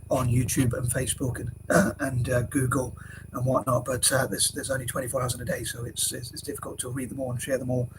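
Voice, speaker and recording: A young man with a cold, talking to camera in a rambling way with many fillers and restarts. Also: mid-range voice. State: has a cold. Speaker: vlogger. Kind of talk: casual talk to camera. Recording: noisy background hiss.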